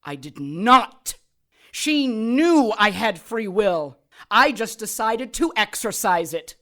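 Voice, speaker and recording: An actress playing a sadistic, cynical immortal villainess in an audio drama, taunting in a deliberate, theatrical way. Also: female voice, mid-range voice, big pitch swings, a bit bright. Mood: smug, philosophical. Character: gleeful immortal female villain who controls corpses, sadistic and cynical.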